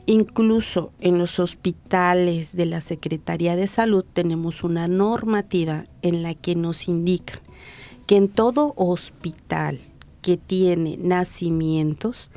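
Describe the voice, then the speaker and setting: A woman physician with a Mexican Spanish accent, explaining patiently and didactically in an interview, slowing down to stress key points. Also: on the low side, mellow. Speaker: physician, hearing and speech specialist.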